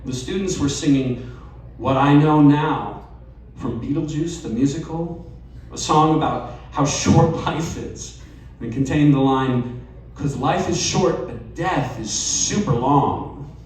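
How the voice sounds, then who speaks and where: A man reading a personal essay aloud at a live storytelling night, measured and wry. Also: a little high, darkish tone, recorded from a distance. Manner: slow, measured delivery with wry asides. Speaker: reader at a live storytelling event. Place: bar or event venue, live audience. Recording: very echoey room, voice off-mic, faint ambience.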